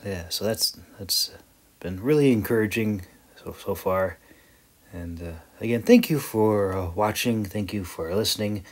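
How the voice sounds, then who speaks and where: A man who narrates and shares his own audiobook stories, talking with deliberate, broken phrasing and pauses. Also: sits low, darkish tone, breathy edge. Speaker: audiobook narrator and author-creator. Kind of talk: scripted story narration, then casual thanks to listeners.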